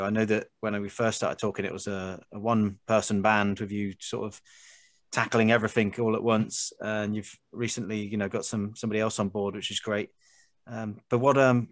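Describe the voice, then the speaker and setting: A man's voice: fairly low pitch, dry recording. A man hosting an interview podcast in a conversational style.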